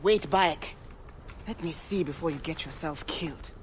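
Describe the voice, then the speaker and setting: A man's voice: a little high, moderate pace, noisy background, lightly acted. A man playing a scholarly papyrus expert with a gambling habit in a video game, musing and theatrical. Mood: fascinated.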